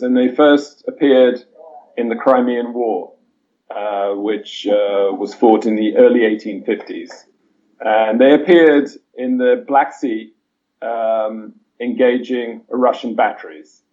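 A man who was born in Chile and grew up in Britain and South Africa, a historian answering interview questions in a measured, hesitant way with many fillers. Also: mid-range voice, darkish tone, highs cut off. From Chile-born, raised in Britain and South Africa. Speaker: historian guest. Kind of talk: podcast interview.

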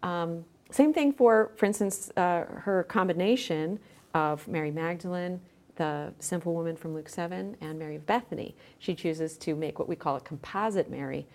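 A woman's voice: lowish voice, moderate pace, a little mellow. A woman speaking as a guest in a conversational interview, explaining her work like a scholar. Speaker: podcast guest, scholar and journal editor.

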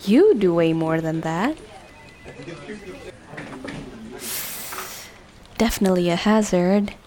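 A woman's voice: lowish voice, animated, normal speed, crowd noise, lightly acted. A woman playing a flustered, smitten young woman in an audio drama, hesitant and earnest, apologetic and self-deprecating. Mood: teasing. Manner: hesitant, nervous, earnest confession. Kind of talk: audio drama acting, naturalistic dialogue.

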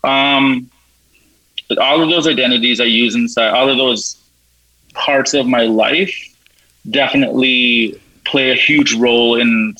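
A man's voice: medium pitch, fairly even intonation, a little slow, long pauses, sharp tone, no room sound, low-quality recording. Eager, chatty man in his thirties. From Canada. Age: 30s. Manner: eager, chatty, laughs often, lots of "like". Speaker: guest, writer and producer. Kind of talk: casual interview answers.

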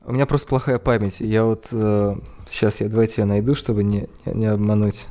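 A man's voice: on the low side, little pitch movement, brisk, dark tone. A man co-hosting a podcast, musing aloud in casual chat. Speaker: podcast co-host.

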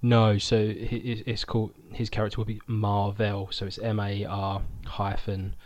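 A man's voice: lowish voice, completely flat delivery, normal speed, a little mellow, muffled recording. A man hosting a casual podcast, chatting and reading out news items. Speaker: podcast host.

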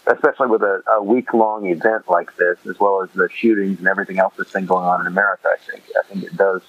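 Fast-talking man, dull lo-fi sound. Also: low voice, fairly even intonation, no room sound. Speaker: podcast guest. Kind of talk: casual conversational chat.

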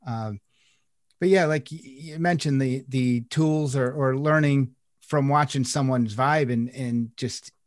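A man in a casual coaching podcast chat, talking through ideas in a relaxed, easygoing way and often restarting his phrases. His voice sits at a middling pitch and sounds close and dry, with almost no room around it. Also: slow pace, no echo. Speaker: life coach, podcast co-host.

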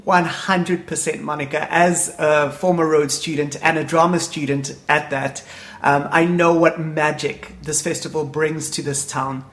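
A man's voice: fairly high pitch, steady tone, unhurried, fairly bright tone. A man with a South African accent hosting a casual radio interview in an upbeat, chatty, effusive manner.

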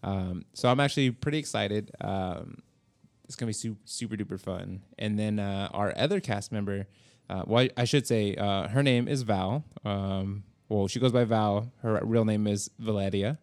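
Guy podcast host, lowish voice, chatty and into it, casual, swears a bit. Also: moderate pace, darkish tone. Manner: informal, profane, enthusiastic.

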